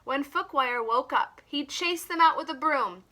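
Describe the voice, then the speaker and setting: A woman reading a story aloud to young children, expressive, with simple explanatory asides. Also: high voice, a little slow. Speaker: children's teacher and story reader.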